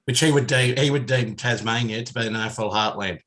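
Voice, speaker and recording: A man with an Australian accent, giving blunt opinions in casual sports talk on the radio, with many pauses and ums. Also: medium pitch, a bit bright. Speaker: radio panelist. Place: radio studio.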